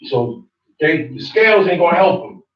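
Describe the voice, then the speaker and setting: A man with a fairly high voice teaches scripture in a relaxed, explaining way. He stands far from the camera, so he sounds distant and roomy. The audio is dull and muffled. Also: normal speed. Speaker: religious teacher. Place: small study room with bookshelves, indoors. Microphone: far room camera. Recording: echoey, distant, dull room recording.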